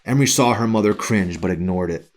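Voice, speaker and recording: A man reading a novel aloud as its author, in a brooding, dramatic narration style with deliberate phrasing. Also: lowish voice.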